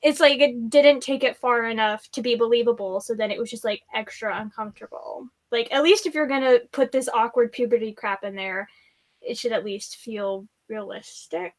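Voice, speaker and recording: Female co-host, very high register, casual and outspoken book-club chatter. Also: moderate pace. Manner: frequent "like", blunt and informal. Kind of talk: casual book discussion chat.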